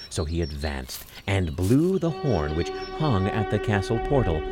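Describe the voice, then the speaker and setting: A man narrating a scripted fairy tale as storyteller, dramatic and measured. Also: sits low.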